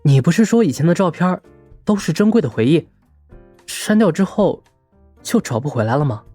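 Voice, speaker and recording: A man's voice: fairly high pitch, big pitch swings, normal speed, dark tone, no echo, soft background music. A man playing a dejected, lovesick young college student in an audio drama, hesitant and wounded. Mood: hurt disbelief. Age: young adult.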